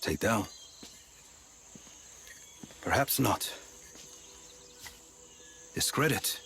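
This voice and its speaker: A man's voice: fairly low pitch, lively intonation, very slow, vocal fry, fairly bright tone, exaggerated voice. An actor playing a wry, scholarly male archaeologist in a game cutscene. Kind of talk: video game cutscene voice acting.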